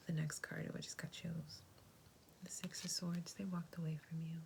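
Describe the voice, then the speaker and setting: Low whispering woman reading tarot. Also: flat delivery, normal speed, vocal fry. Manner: soft unvoiced whisper, gentle and reassuring. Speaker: tarot reader.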